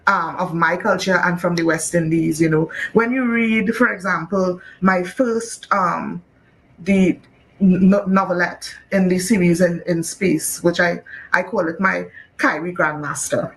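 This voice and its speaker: A woman from Trinidad and Tobago, an author talking casually in an interview, lively and laughing often, with many "you know"s. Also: fairly low pitch, a little slow, poor audio. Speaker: author guest.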